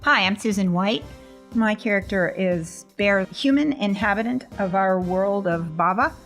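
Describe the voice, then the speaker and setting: A woman's voice: mid-range voice, animated, a little slow, fairly bright tone, soft background music. A woman speaking casually as a tabletop role-playing player, introducing the character she plays.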